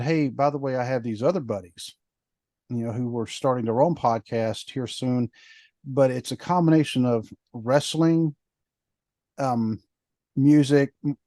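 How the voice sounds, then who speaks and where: A man's voice: mid-range voice, dark tone, no room sound. A man co-hosting a podcast, chatting in a rambling way with lots of fillers. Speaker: podcast co-host. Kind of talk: casual podcast chat.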